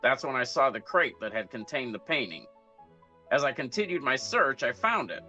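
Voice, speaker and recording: Bright-voiced man as a 1940s radio detective. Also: mid-range voice, big pitch swings, normal speed, faint music behind. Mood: matter-of-fact. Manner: matter-of-fact, clipped storytelling. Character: hard-boiled 1940s private detective recounting his case. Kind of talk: 1940s radio noir narration.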